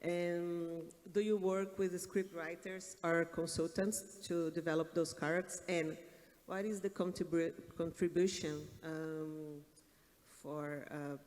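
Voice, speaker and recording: A man moderating a panel, asking questions in a hesitant, halting way, recorded in an echoey hall. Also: fairly high pitch, little pitch movement, darkish tone. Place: large indoor conference hall.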